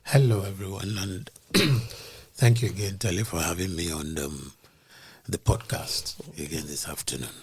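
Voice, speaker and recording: A man who is a podcast guest, explaining ideas in a deliberate way and using everyday comparisons. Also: low voice, breathy edge.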